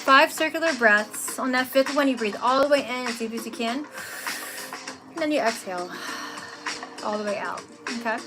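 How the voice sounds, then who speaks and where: A woman's voice: on the high side, normal speed, long pauses, low-quality recording. A young woman with a Philadelphia accent, chattily and cheerfully explaining a breathwork exercise as a live meditation teacher. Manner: chatty, upbeat, laughs easily. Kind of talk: live guided breathwork instruction. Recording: music playing under the voice.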